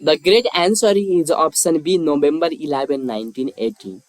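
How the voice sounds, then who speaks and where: A man hosting a quiz, reading scripted questions and lettered options in a measured, formulaic way. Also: on the high side. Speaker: quiz host.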